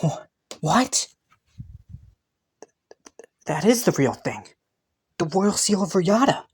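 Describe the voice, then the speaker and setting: A man's voice: high-pitched, sing-song, slow, breathy edge, exaggerated voice. A man playing a haughty, teasing young crown prince in a romantic fantasy audio roleplay, suspicious yet intrigued, with a smug manner. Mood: surprised, probing. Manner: teasing, smug, chuckling and sighing between phrases.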